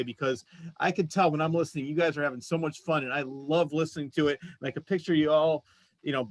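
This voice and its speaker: Podcast host guy, upbeat and encouraging, voice a bit high, dry sound. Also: dry recording. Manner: enthusiastic, encouraging. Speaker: podcast host, former radio broadcaster. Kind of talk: casual podcast chat.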